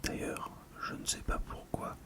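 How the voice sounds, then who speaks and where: Middle aged asmr person, all whispered, calm and gentle, bit hesitant, right up on the mic. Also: unhurried. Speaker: ASMR video host.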